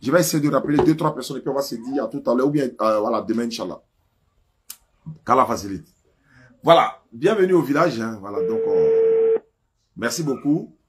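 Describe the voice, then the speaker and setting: A man's voice: medium pitch, wildly swooping pitch, fairly quick, long pauses, a little hiss or hum. A man with an Ivorian French accent hosting a live religious phone-in, talking informally to listeners and callers. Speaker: host of a religious call-in live stream. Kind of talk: live phone call-in talk.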